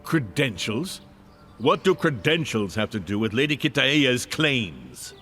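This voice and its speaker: An actor playing a stern, suspicious lord of a fantasy keep in a video game, speaking formally and with command. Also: male voice, mid-range voice, sing-song, measured pace, a bit bright. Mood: suspicious, questioning. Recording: background music under dialogue.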